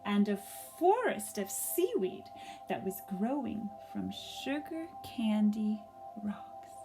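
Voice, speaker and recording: A woman reading a story aloud for young children, gently and expressively, pausing to ask them questions. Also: medium pitch, big pitch swings, over music.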